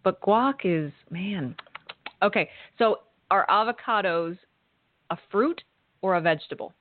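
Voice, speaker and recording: A woman's voice: mid-range voice, unhurried, no room sound. Teasing woman co-host on a phone line. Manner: teasing, incredulous questions. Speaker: podcast co-host. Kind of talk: casual chat.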